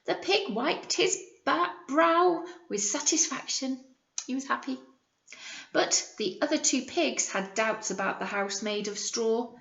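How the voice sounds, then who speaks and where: A British woman, a primary school teacher, reading a picture book aloud to young children and pausing to explain and ask simple questions. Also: high voice, expressive, gravelly, a bit bright, reverberant, highs cut off.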